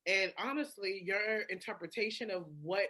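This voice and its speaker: A woman in candid, casual podcast conversation, frank and expressive, acting out her own thoughts. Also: mid-range voice, normal speed.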